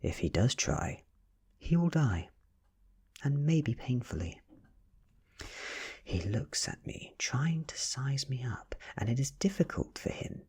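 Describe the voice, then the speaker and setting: A man with a British accent reading a story in a hushed, deliberate ASMR style, mostly whispered, close to the microphone. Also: medium pitch, very animated, husky. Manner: hushed, deliberate, lingering between short phrases. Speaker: audiobook reader.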